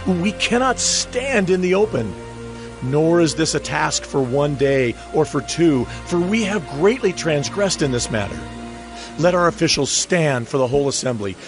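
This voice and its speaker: A man's voice: fairly high pitch, animated, normal speed, music in the background. An actor playing a contrite Israelite spokesman in a dramatized audio Bible, earnest and declamatory. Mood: contrite, pleading. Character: contrite Israelite spokesman pleading for his assembly.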